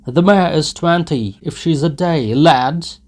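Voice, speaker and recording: Rowdy drunken men jeer and mock from the crowd, as a male reader puts them on. The voices are raised high and loud, full of scornful laughter in the words. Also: takes it slowly. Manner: mocking, jeering.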